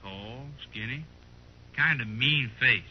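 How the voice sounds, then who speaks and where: An actor playing a chatty, inquisitive gun dealer in a western radio drama, with a rural American drawl and a husky voice. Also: male voice, mid-range voice, slow pace, a little hiss or hum, natural voice. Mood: musing, inquisitive. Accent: rural American West. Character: chatty, curious male frontier gun dealer. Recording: muffled old radio recording.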